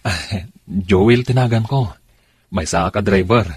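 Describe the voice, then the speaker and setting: A man with a very husky, rough voice playing an easygoing, colloquial driver in a radio drama. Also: lowish voice, slow pace, dry recording, clean studio sound, exaggerated voice. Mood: sheepish. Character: easygoing male driver helping friends with a scheme.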